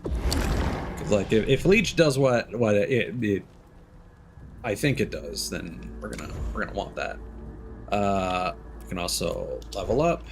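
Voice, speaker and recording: A man's voice: fairly low pitch, measured pace, vocal fry, long pauses, background noise. A man streaming a video game, casually thinking aloud and hesitating with fillers as he plays. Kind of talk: casual gameplay commentary.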